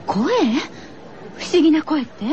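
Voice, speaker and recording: Woman acting high-voiced schoolteacher in radio horror. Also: expressive, slow, warm tone, highs cut off, a little hiss or hum. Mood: concerned. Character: female schoolteacher, firm but uneasy, narrating a horror tale.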